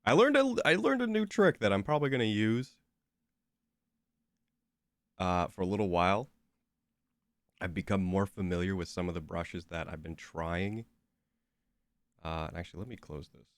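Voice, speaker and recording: A man's voice: sits low, expressive, moderate pace, long pauses, no room sound, clean studio sound. A young man with a stuffy, slightly nasal voice, chatting casually and rambling with lots of fillers while streaming a game. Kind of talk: casual chat while gaming.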